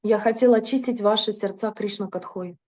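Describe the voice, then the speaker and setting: A woman's voice: mid-range voice, normal speed, warm tone. A woman interpreting a religious lecture into Russian, fluent but with occasional hesitations and self-corrections.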